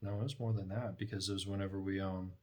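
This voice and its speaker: Slightly low-voiced man, casual chat. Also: steady tone, quick pace, a little mellow. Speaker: host's husband, guest on her vlog.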